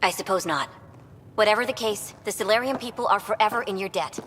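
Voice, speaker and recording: A woman's voice: mid-range voice, fairly quick, fairly bright tone, slight background noise, natural voice. A woman playing an earnest, dignified alien expedition leader in a video game, formal and composed. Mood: sincere. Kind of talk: video game cutscene acting.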